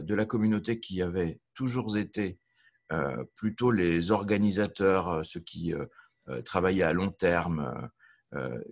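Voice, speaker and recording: A man giving an informal webinar talk as a local official, pausing often as he searches for his words. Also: lowish voice. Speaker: local elected official on a panel. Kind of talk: informal spoken presentation in a webinar.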